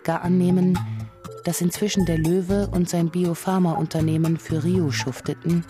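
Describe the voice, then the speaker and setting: Female narrator for literary radio play: lowish voice, composed, precise scripted storytelling, clear music bed. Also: level tone, normal speed.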